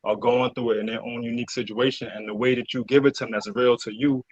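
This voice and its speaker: A man talking casually as an interview guest, halting and searching for words, often interrupting himself. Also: medium pitch, level tone, husky, no room sound, rough recording. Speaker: interview guest, cast member. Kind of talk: casual conversational interview talk.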